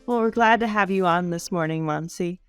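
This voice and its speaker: A woman's voice: lowish voice, moderate pace, a bit bright, clear, resonant tone, soft background music. A woman hosting a podcast, introducing her guest in a friendly, easygoing way. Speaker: podcast host. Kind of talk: podcast interview.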